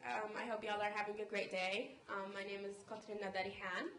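A woman's voice: mid-range voice, level tone, fairly quick, a bit bright, slight background noise. A teenage girl from Palestine giving a prepared, earnest personal speech at a podium microphone in a college conference room. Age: teens. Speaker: panel speaker.

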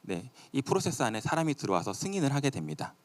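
A man's voice: medium pitch, sing-song, fast talker. A man giving a live product demo at a company event in a conversational way. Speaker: presenter at a company tech event.

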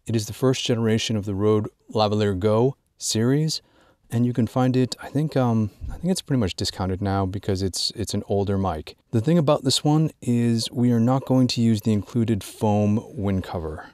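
A man presenting an informal instructional product walkthrough, drawing out key words in a measured way for emphasis. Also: on the low side, darkish tone, no room sound, clean studio sound. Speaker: gear tutorial presenter.

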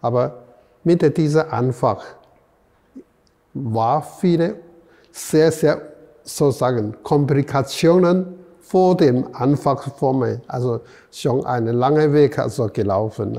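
Slow, gravelly older man, halting. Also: mid-range voice, dark tone, in a large hall. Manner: halting, searching for words, many fillers. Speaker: artist guest. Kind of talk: halting interview answers. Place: museum gallery room.

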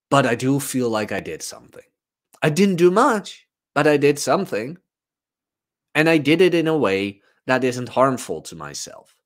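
Man with animated, swooping intonation. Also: medium pitch. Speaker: host, fitness coach. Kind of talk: casual livestream monologue, fitness motivation talk. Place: small room at home, indoors.

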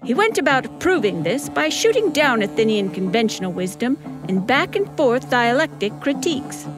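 A woman's voice: on the high side, big pitch swings, normal speed, low-quality recording. A woman narrating a comic script in a wry, theatrical manner. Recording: loud music behind the voice.

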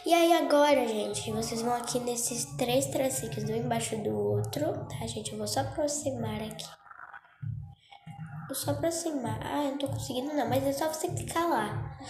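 A woman's voice: on the high side, moderate pace. A young woman with a Brazilian Portuguese accent, chatty and a little congested from a cold, walking viewers through a phone game tutorial. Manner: chatty, keeps saying "gente" and "tá?". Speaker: Roblox tutorial youtuber. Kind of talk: casual screen-recorded tutorial. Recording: echoey room with music behind.

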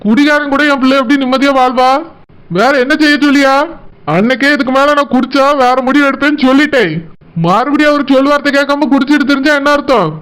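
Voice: sits high, steady tone, quick pace, fairly bright tone, rough recording. A performer voicing a protective middle-aged village father in an amateur cartoon, firm and questioning, with a Kanyakumari Tamil accent. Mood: indignant.

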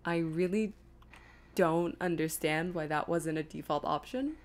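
A woman's voice: sits low, a little slow. A woman streamer giving casual live gameplay commentary, chattily thinking aloud to herself and her viewers. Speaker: video game streamer.

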